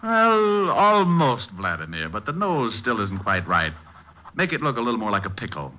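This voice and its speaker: An actor playing a suave, sardonic private detective with an American accent, quick-witted in 1950s radio drama style. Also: male voice, medium pitch, sing-song, faint music behind, lightly acted. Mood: haggling. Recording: dull old radio broadcast recording.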